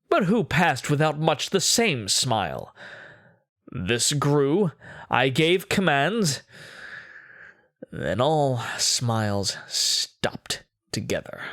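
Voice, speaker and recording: A man performing a dramatic poetry monologue as a haughty, controlling, sinister Renaissance duke, with a pompous, theatrical, deliberate delivery. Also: mid-range voice, fairly bright tone, dry recording, clean studio sound, exaggerated voice. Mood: cold contempt.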